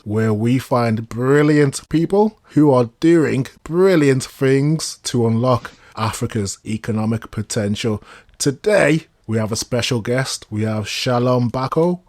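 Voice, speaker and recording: A man with an average-pitched voice works as an interview host. His delivery is polite and prepared, in the style of podcast introductions and questions.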